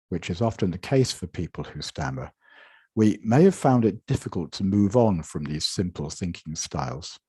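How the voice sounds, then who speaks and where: A man with a very low, husky voice delivers a measured conference lecture from his slides. He often repeats and restarts words as he talks. The sound comes dry and close over a video call. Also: a little mellow, no room sound. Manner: measured, with frequent repetitions and restarts. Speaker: conference presenter.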